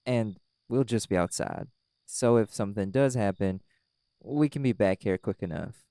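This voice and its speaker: Man playing deep, folksy were-dog alpha. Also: no room sound, studio quality. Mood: gentle coaxing. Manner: gentle, reassuring, folksy. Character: calm, caring male were-dog pack alpha, folksy protective friend. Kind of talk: audio roleplay acting, intimate comforting talk.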